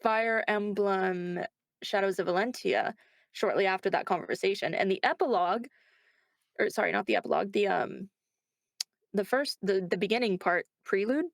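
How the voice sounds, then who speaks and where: A woman's voice: medium pitch, normal speed, dry recording. Chatty woman in a dry-sounding interview. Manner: chatty, self-interrupting, reflective. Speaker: voice actor being interviewed. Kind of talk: conversational interview.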